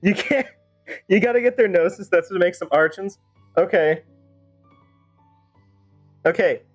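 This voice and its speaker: A man's voice: high voice, unhurried. A man livestreaming a game, talking in a casual, rambling, chatty way and slipping into playful role-play. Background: game music.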